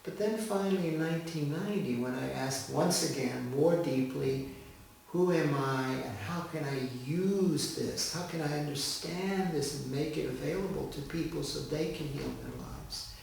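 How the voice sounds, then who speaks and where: A middle-aged man, born in the States, telling a personal story as an interview guest in a gentle, unhurried way. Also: fairly high pitch, vocal fry, a little hiss or hum. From United States. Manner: gentle, unhurried, pauses with ums. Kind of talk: reflective storytelling interview answer. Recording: echoey room from far off.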